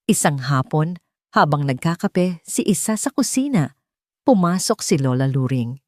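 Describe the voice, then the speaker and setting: A Filipino woman narrates a story in a low, mellow voice. The recording is close and dry, with the scripted delivery of an audiobook. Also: big pitch swings, unhurried, no echo.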